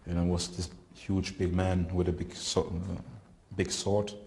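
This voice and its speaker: A man, telling his story in an interview in a prison, halting and searching for words. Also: sits low, level tone, darkish tone, a little hiss or hum. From Germany. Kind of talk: recounting experiences in an interview.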